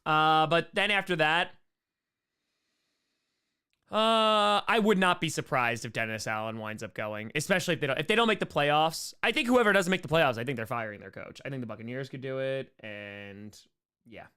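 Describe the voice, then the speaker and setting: A man with a bright, clear voice hosts a casual live chat. His pitch jumps around a lot in an animated way. He jokes and often repeats short phrases, like a streamer answering viewers. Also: normal speed.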